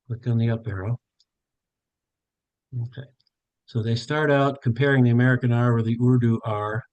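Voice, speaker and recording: An older man runs a live online workshop for tutors, narrating each step as he goes. His voice sits in an ordinary middle pitch, and he talks it through with plenty of fillers and self-corrections. The sound is dry and close, like a video call from a home office. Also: darkish tone, no echo, lo-fi sound. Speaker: tutor trainer. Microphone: webcam on a video call.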